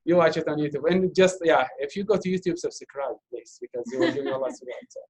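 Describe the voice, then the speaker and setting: A man in his thirties, a native Arabic speaker from Iraq, answering interview questions in halting English full of fillers and restarts. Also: a little high, expressive, gravelly, darkish tone, rough recording. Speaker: interview guest, doctoral student. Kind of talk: casual Q&A talk.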